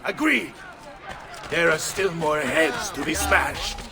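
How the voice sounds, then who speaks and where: An actor playing a boisterous, combative yet sentimental middle-aged mercenary captain in a video game, theatrical, with an Italian accent. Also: male voice, on the high side, slow pace, gravelly, noisy background. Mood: cocky, fond. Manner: bombastic, theatrical, swinging from bellowing to tender. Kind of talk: video game cutscene acting.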